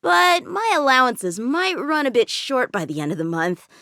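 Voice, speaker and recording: A confident young boy lieutenant with a sword in a video game. The voice is high and youthful, with lively swings in pitch. The delivery is earnest and a little cocky, recorded dry and close. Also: moderate pace, no echo, exaggerated voice. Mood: eager, confident. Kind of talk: video game character voice lines.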